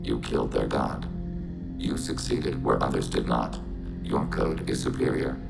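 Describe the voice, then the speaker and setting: An actor voicing a calm, logical machine intelligence in a video game, with synthetic processing and a flat, clipped, literal delivery. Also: male voice, a bass voice, measured pace, vocal fry, over loud music, full character voice. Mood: detached, analytical. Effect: synthetic robotic voice processing.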